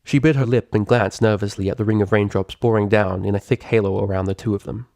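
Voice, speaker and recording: A man reading fiction aloud as a scripted narrator, expressive and articulate in a dramatic storytelling manner. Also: lowish voice, quick pace, vocal fry, no room sound. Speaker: audiobook reader of fan fiction.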